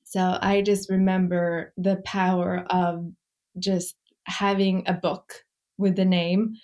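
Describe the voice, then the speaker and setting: A woman in her forties, raised in Norway, a children's book author chatting as a podcast guest and laughing easily. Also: on the low side. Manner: warm, laughs often, quotes family in lively voices. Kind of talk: casual conversation.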